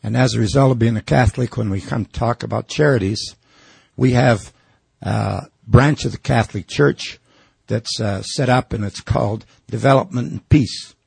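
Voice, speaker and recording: A man from the audience asking a halting, rambling question at a public forum. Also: medium pitch, gravelly, a little mellow, breathy edge, dry recording. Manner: halting, rambling, with many fillers.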